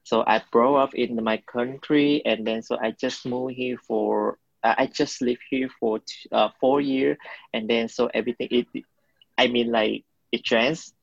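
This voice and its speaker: A man's voice: medium pitch, fairly even intonation, measured pace, gravelly, no echo, lo-fi sound. A man in his twenties from Vietnam with a Vietnamese accent, giving hesitant interview answers while searching for words. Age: 20s. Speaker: interviewee, college student.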